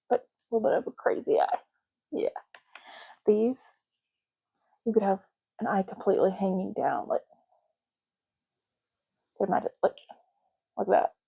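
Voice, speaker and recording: A woman speaking softly and breathily in an unhurried ASMR roleplay, as a clerk helping a customer, gently lingering on and repeating words. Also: mid-range voice, highs cut off. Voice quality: soft, breathy, at times dropping to a whisper. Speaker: ASMR creator playing an ID card clerk in a roleplay. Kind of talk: ASMR roleplay, soft-spoken and casual.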